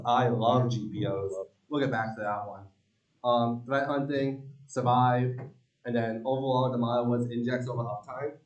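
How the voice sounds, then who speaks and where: A young man presents his student team's work in a loose, joking way, filling pauses with um. His voice sits at an ordinary pitch. It reaches the mic from across an echoey room. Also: far from the mic, muffled recording. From Long Island, New York. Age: young adult. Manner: relaxed, joking, many ums. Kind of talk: casual team presentation.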